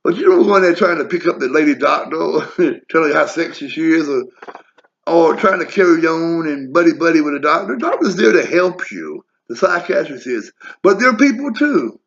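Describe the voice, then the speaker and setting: A man's voice: fairly high pitch, animated, normal speed, creaky. Blunt, profane man in his forties. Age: 40s. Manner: blunt, profane, wandering asides. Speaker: vlogger. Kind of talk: rambling personal monologue. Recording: lo-fi muffled audio.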